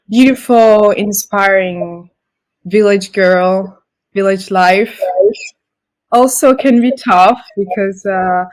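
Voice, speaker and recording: A young woman, a model being interviewed in a casual talk, speaking haltingly with many fillers and restarts. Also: mid-range voice, rough recording. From Senegal. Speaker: guest, model.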